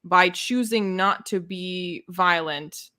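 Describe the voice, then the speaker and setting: A woman in a casual, opinionated book discussion, talking in an animated, exasperated, sarcastic way and acting out imagined quotes. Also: fairly low pitch, takes it slowly. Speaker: book readalong live chat host.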